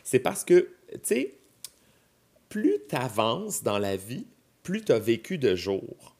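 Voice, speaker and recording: A man's voice: medium pitch, very animated, slow pace, darkish tone, husky. A man in his thirties with a Québécois French accent, chatting casually as a podcast guest and explaining his ideas with examples and asides. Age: 30s. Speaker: podcast guest, drag performer. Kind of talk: casual chat.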